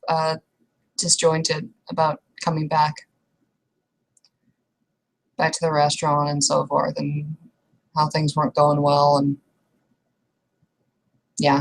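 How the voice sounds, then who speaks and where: Lower-pitched woman, halting casual roleplay talk. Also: poor audio. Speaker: tabletop roleplay player.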